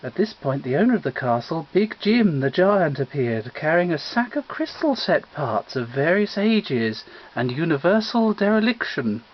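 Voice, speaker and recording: Male storyteller for comic narration; lively, varied intonation, dull home-recorded sound. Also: a little high, normal speed, few pauses. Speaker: story reader.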